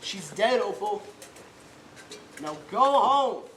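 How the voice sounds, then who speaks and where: A woman's voice: mid-range voice, animated, slow, fairly bright tone. A young woman playing a stern, commanding townsperson in a school stage play. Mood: grave. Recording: echoey stage with faint noise.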